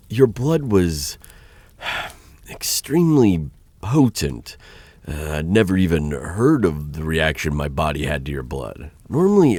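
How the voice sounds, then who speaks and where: A male actor plays a flustered vampire lord with an extremely low, husky voice. He muses slowly in halting, stammering phrases, courteous but a little aloof, with lively swings in pitch, as in an intimate ASMR audio roleplay. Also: dry recording, heavily acted. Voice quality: very deep, gravelly, husky voice. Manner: hesitant, stammering, musing with sighs and trailing pauses.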